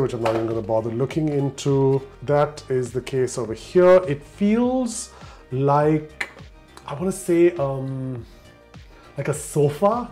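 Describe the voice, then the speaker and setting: A man's voice: mid-range voice, darkish tone. A young man from Dubai with an Indian accent, chatting casually as he unboxes gadgets and keeps asking viewers what they think. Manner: chatty, asks viewers for comments. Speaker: tech channel host.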